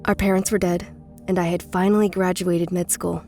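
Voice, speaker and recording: A woman playing a guarded, intense runaway from a cult family in a horror audio drama, speaking with deliberate care. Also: on the low side, flat delivery, a little mellow, natural voice. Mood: haunted, reminiscing. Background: music.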